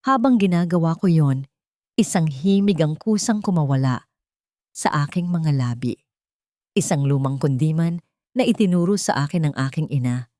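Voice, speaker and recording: Low-voiced Filipino woman narrating drama. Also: expressive, normal speed, warm tone, no echo, clean studio sound. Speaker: audiobook narrator. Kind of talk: dramatic first-person scripted story narration.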